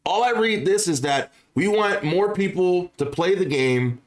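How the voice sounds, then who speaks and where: A man hosts a casual opinion video in a voice that sits a little higher than average for men. He talks in an informal commentary style and repeats short phrases for emphasis. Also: lively intonation, a little slow. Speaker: video creator and host. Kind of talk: casual opinion commentary on gaming news, partly reading aloud.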